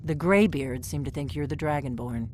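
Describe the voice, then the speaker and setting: A woman's voice: low-pitched, moderate pace, a little mellow, lightly acted. An actress voicing a guarded, secretive female innkeeper who works as a spy, speaking in a cautious, urgent, conspiratorial way over faint game music.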